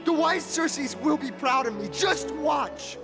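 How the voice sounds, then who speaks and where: A high-voiced, boastful young explorer in a video game, defiant and dreaming of flight. The delivery is grandiose and declamatory, with loud music underneath. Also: female voice, animated, slow, full character voice. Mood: boastful, proud.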